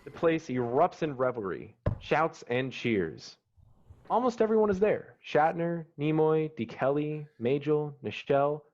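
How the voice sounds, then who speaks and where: A man's voice: fairly high pitch, big pitch swings, unhurried, a little mellow. Man narrating screenplay, slightly muffled. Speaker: stage-directions narrator. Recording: dull, slightly muffled online call audio.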